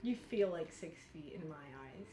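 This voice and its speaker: A young woman hosting her vlog, teasing with deadpan jokes and giggling. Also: deep voice, normal speed. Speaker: vlogger host. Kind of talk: casual vlog chat. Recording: roomy echo, faint music.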